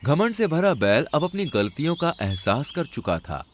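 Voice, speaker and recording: A man's voice: a little high, big pitch swings, moderate pace, a little hiss or hum. A man narrating an animal fable in a dramatic storytelling style.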